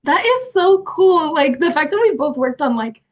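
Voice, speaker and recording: A woman's voice: sits high, big pitch swings, normal speed, a crowd in the background. A young woman, an actress, chatting casually over a video call, laughing often and filling her talk with "like". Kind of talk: casual conversation.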